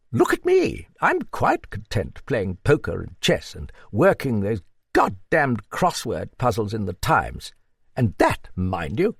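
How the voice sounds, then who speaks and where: A man's voice: mid-range voice, gravelly, husky, no room sound, studio quality, voice pushed up, exaggerated voice. A man voicing a blunt, motherly old woman with a hearty, blustery manner in an audiobook. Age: older. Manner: blustery, hearty, muttering asides. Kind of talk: audiobook character voice.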